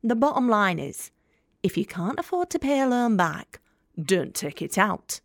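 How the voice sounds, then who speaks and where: A woman's voice: medium pitch, big pitch swings, measured pace, dry recording, studio quality. A woman acting a scornful, hard-nosed working-class debt collector in an audio drama monologue, blunt, colloquial and sarcastic.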